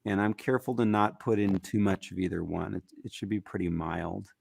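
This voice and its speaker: A man with a raspy, gritty voice, talking casually as a musician guest in an interview, rambling with fillers. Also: low voice, a little fast, no echo. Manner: relaxed, rambling with fillers and restarts.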